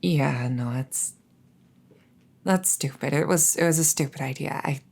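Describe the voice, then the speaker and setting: A woman's voice: low-pitched, creaky, darkish tone, heavily acted. A woman playing a captured crown princess, haughty but easily flustered and coy, in a roleplay audio drama. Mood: shy, bashful. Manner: stammering, hesitant, halting.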